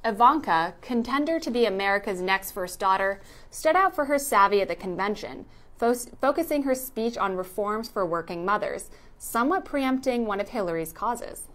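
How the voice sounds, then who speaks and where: A woman's voice: mid-range voice, lively intonation, normal speed, fairly bright tone. A woman reading scripted narration for a news explainer.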